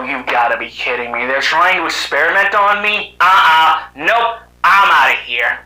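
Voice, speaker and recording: A man voicing a sardonic cyborg animal hero from another planet in an amateur animated drama, with muttered asides and exclamations. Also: medium pitch. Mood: wry, muttering. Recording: roomy, echoing voice track.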